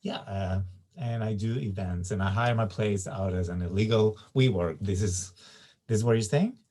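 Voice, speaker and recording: Man acting low-voiced, laid-back young guy. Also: moderate pace, mellow, close to an everyday voice. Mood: awkward apology. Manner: hesitant, laid-back, slangy. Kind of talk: naturalistic romantic comedy table read.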